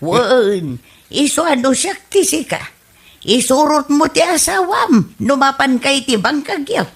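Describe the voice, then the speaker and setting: A woman's voice: high-pitched, big pitch swings, measured pace, heavily acted. A woman with an Ilocano accent playing a disapproving, meddling older mother in a radio comedy drama. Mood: scolding. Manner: scolding, meddling.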